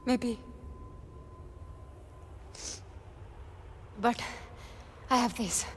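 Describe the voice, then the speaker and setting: A determined, defiant young heroine. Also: female voice, a little high, slow pace, slight background noise. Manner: earnest, intense, resolute. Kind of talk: cinematic video game acting.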